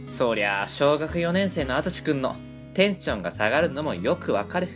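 A woman playing an easygoing 39-year-old male factory owner with a Kansai accent, a low folksy voice, narrating and chatting in an amateur web radio drama. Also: sing-song, a little fast, over music. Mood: reflective. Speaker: narrator and lead character.

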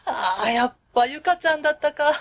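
Casting: actress for warm middle-aged female factory boss, higher-pitched, courteous and fond, radio drama. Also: expressive, a little fast. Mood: fond, delighted. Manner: polite, hesitant openers, fond chuckles. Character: friendly middle-aged woman company president, warm and modest. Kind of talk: Japanese web radio drama acting.

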